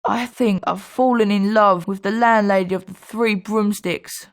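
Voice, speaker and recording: A woman's voice: medium pitch, fairly even intonation, unhurried, lightly acted. A woman voicing a chatty, friendly young British schoolboy wizard in scripted video game lines.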